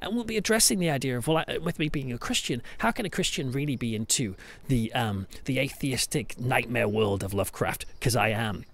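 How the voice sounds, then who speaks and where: A man's voice: medium pitch, lively intonation, a little fast, vocal fry, slight background noise. A British man hosting a podcast, narrating in a storytelling way with emphatic phrasing and conversational asides. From United Kingdom. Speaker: podcast host and church pastor. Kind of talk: scripted narration with conversational asides, reflective review. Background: music behind the voice.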